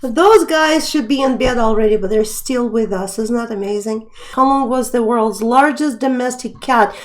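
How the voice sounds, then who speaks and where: A woman's voice: a little high, moderate pace. A young woman hosting a live stream quiz, chatting casually as she reads out questions and viewer names. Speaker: live stream trivia host.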